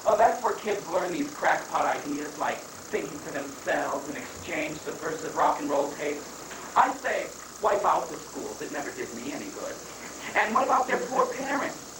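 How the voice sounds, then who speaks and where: A performer playing an excitable, indignant presidential aide, emphatic and declamatory, in an amateur dramatic reading of a satire. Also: medium pitch, moderate pace, lightly acted. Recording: noisy, echoey live reading from far off.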